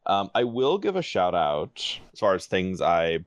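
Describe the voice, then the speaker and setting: A man's voice: sits low, sing-song, unhurried, dry recording. A man in a casual podcast chat, giving enthusiastic, rambling recommendations. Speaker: podcast panelist.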